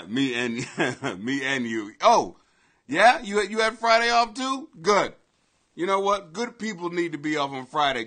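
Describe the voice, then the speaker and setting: Man, 40s, live webcam host, basement at home, bright ringing voice, crude loose riffing. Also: a little high. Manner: loose, swearing, loud bursts and laughing asides. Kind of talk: casual profane live commentary. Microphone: webcam at desk.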